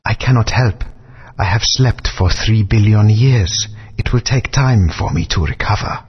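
An actor playing a solemn ancient alien guardian spirit in a video game, speaking in slow, grave, whispery pronouncements. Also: male voice, on the low side. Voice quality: husky, whispery. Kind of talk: game voice acting. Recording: dull lo-fi game audio.

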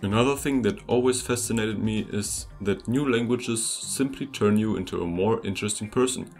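A young man whose first language is German reads a scripted lesson in a fairly low voice, chatty and upbeat, talking straight to his viewers. A quiet guitar track plays softly behind him throughout. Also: normal speed. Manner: upbeat, conversational, addresses viewers directly. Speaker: language-learning video host. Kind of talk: scripted voice-over narration. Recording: voice-over with faint music behind.

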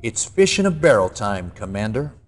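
An actor playing a stern, determined military general in his forties with an American accent, giving a measured, emphatic mission briefing for a game cutscene. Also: fairly low pitch, big pitch swings. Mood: resolute.

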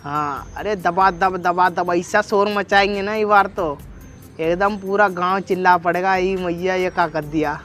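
A young man with a noticeably high voice and a Bhojpuri accent talks in a cheeky, rustic, teasing way, as a prankster chatting on the phone. He is recorded outdoors, with music underneath. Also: fairly even intonation, unhurried, fairly bright tone. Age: young adult. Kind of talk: staged loud phone chat for a street prank. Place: outdoors in a leafy park.

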